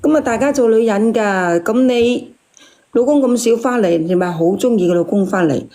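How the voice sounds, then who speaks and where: A woman's voice: on the high side, normal speed, dark tone. A woman caller, chatting casually in a frank, plain-spoken way. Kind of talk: casual chat.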